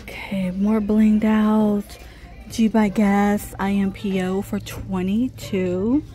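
A woman's voice: medium pitch, flat delivery, takes it slowly, mellow, faint music behind. A middle-aged woman vlogging a casual shopping trip, chatting and reading out prices as she browses a busy store. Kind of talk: casual shop-with-me narration. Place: busy discount clothing store.

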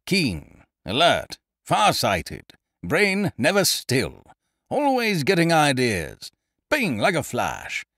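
A man voicing a loud, hearty, scheming young gentleman adventurer who blusters expansively. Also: fairly high pitch, huge pitch swings, slow, no room sound, studio quality, pitched above the performer's own voice, heavily acted.

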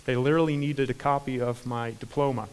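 A man in his forties with an American accent gives an energetic conference talk on stage, with frequent fillers and restarts. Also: mid-range voice, quick pace, clean tone. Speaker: conference speaker. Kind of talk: keynote talk. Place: large conference hall stage. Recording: hall reverb from a large room.

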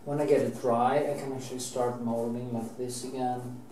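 A man's voice: mid-range voice, little pitch movement, moderate pace, mellow. A middle-aged man from Norway with a Norwegian accent, talking while he paints, rambling and restarting his sentences, swearing casually now and then. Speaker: painting teacher. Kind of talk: painting demonstration. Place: painting studio, indoors. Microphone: camera mic, at a distance. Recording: roomy, distant, faint background hiss.

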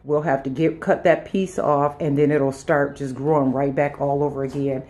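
A woman vlogger talking casually to her viewers in a halting, unscripted way, often circling back on herself as she shows her house. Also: sits low, level tone, darkish tone. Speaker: home and lifestyle vlogger. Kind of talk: casual chat to viewers during a house tour. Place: inside a house, walking between rooms and sun porch.

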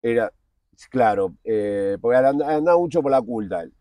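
A man in his forties with a Buenos Aires accent, a guest talking candidly outdoors in a park, blunt and slangy, often halting and restarting. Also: mid-range voice, lively intonation, warm tone, no room sound. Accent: Buenos Aires Spanish. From Argentina. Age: 40s. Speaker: guest, TV producer. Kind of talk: candid casual interview.

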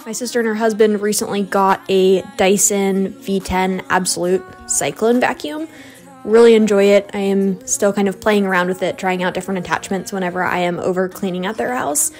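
A woman's voice: mid-range voice, steady tone, normal speed, darkish tone. A young American woman giving a casual voice-over narration as a cleaning vlogger. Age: young adult. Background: background music.

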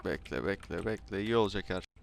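A man's voice: sits low, quick pace, vocal fry, slight background noise. A man bantering casually while trolling in an online roleplay game, switching between mock politeness and slang. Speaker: gaming YouTuber.

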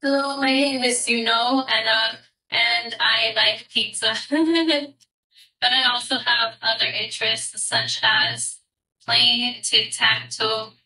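A synthesized woman speaks slowly in a very bright tone, stumbling with generated fillers. She sounds set back from the mic, with a faint hiss behind her like someone on a phone line. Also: on the high side, fairly even intonation, distant mic. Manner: halting, with generated laughs and fillers. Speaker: synthetic demo voice.

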